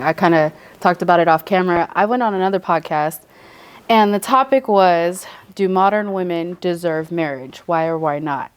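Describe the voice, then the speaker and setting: A woman's voice: on the low side, clean tone. A woman in her thirties hosting a podcast panel, chatty and teasing. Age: 30s. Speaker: podcast host. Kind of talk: casual panel discussion.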